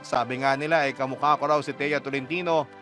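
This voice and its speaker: A man's voice: medium pitch, steady tone, quick pace, bright, forceful tone, faint music behind. A man with a Filipino accent reading a listener's letter in a chatty first-person style for radio. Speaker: radio drama letter reader.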